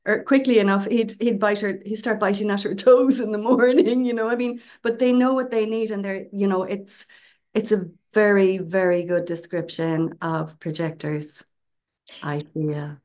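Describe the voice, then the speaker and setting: A woman's voice: mid-range voice, fairly even intonation, moderate pace, a little mellow. A woman co-hosting a podcast, explaining ideas in a conversational casual chat with asides. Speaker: podcast co-host.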